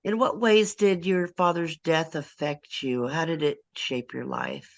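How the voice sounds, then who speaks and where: A woman hosting an interview, effusive and a little halting as she asks questions. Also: sits low, steady tone, creaky. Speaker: host, senior editor.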